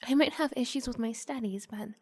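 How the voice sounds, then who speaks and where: Woman playing a breathy, coaxing young vampire girl. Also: on the high side, dry recording. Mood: apologetic, coaxing. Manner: hushed, halting, often slipping into breathy whisper. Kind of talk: ASMR roleplay, improvised.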